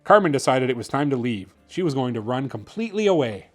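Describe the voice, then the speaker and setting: A man hosting and narrating a children's bedtime story in an expressive, theatrical way. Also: medium pitch, fast, faint music behind. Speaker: host reading children's books aloud.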